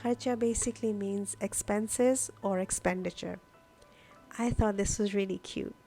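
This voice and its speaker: A woman who grew up speaking Urdu narrates a craft process in a casual, explanatory way. Her medium-pitched voice has a noticeable gravelly creak. Music plays behind her voice-over. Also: steady tone, a little slow, warm tone. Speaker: journaling vlogger, host.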